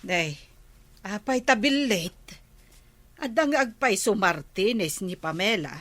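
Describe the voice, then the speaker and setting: A woman's voice: mid-range voice, expressive, a little slow, a bit bright, no echo. A woman playing an inquisitive, gossipy villager in a comedy drama, chatty and questioning. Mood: curious.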